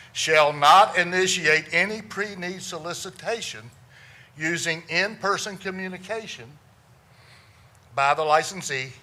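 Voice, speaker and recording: Loud, resonant man. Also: fairly high pitch. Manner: loud, folksy storyteller with self-deprecating jokes. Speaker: funeral director. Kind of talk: humorous presentation to a lawyers' audience.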